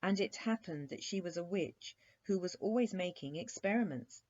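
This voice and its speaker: A courteous young prince from a bedtime storybook, acted out by a woman reader. He is wistful, polite and plaintive, in a dark, husky tone. The sound is a touch lo-fi. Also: lowish voice, animated, normal speed. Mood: explanatory. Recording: dull, muffled lo-fi recording.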